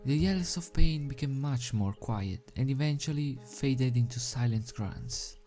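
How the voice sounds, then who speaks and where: A man's voice: medium pitch, expressive, measured pace, warm tone. Amateur guy reading fanfic dramatically, medium voice, trips on words a bit, music in the back. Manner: stumbles over words and rereads phrases. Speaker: amateur fan fiction reader. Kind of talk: dramatic scripted story narration.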